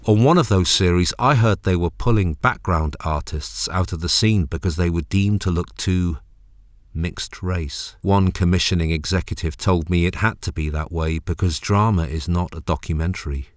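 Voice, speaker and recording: Guy, really deep voice, dry close recording, narrating his own essay film, wry and rhythmic. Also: moderate pace, no room sound. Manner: wry, rhythmic, repetitive phrasing. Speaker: presenter, narrator, actor.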